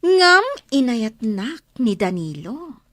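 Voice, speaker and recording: High, swooping, melodramatic estranged wife. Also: female voice, very animated, slow, fairly bright tone, no room sound, clean studio sound, heavily acted. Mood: defiant. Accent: Ilocano. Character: pleading, jealous, sharp-tongued estranged wife and mother. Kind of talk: Ilocano radio drama acting.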